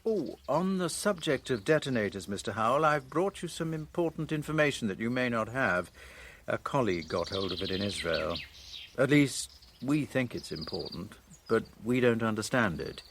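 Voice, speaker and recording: A man's voice: mid-range voice, big pitch swings, moderate pace, a bit bright, a little hiss or hum. A man playing a polite but persistent, probing journalist in a radio drama.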